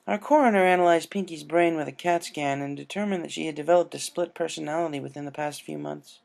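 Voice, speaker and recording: A narrator voicing a tender, regal young female alicorn princess in a gentle, formal, measured way for a story reading. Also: mid-range voice, steady tone, gravelly, voice pushed up, natural voice. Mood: calm, explanatory.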